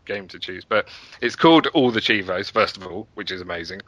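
A man's voice: deep voice, a little fast, a bit bright, dry recording, highs cut off. A man speaking as an interview guest, chatting casually and telling stories with enthusiasm. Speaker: podcast guest, app and website developer.

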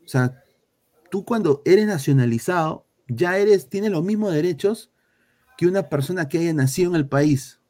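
A man's voice: a little high, animated, normal speed, mellow. Peruvian man, football talk host, blunt, crude, heated rant. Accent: Peruvian Spanish. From Peru.

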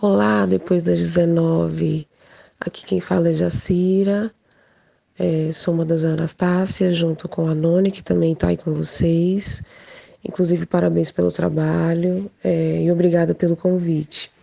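A woman shares a personal account informally and reflectively. Her voice is low and full of vocal fry, with very little melodic movement. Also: level tone, measured pace, dark tone. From Portugal. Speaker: guest speaker sharing personal experience.

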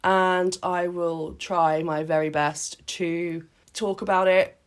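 A woman in her late thirties from Britain, hoarse with a cold, chatting casually as a podcast co-host and often restarting her sentences. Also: on the low side, little pitch movement, slow pace. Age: late 30s. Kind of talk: casual chat. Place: sitting on the floor in a home play fort.

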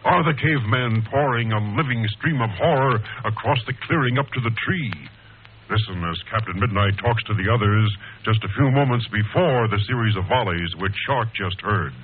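Actor as emphatic vintage radio serial announcer. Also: male voice, medium pitch, close to an everyday voice. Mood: suspenseful. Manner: slow, suspenseful, emphatic. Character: dramatic cliffhanger narrator of an adventure serial. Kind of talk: 1940s radio serial narration. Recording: tinny, hissy old radio broadcast.

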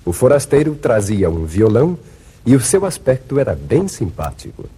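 A man's voice: medium pitch, very animated, normal speed, dark tone, slightly rough. A man narrating a 1950s radio drama from a script in a theatrical, declamatory manner.

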